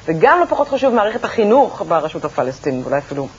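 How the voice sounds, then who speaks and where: A woman's voice: lowish voice, sing-song. A woman with an Israeli accent hosting a conversational television interview as the interviewer. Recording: muffled, noisy TV broadcast recording.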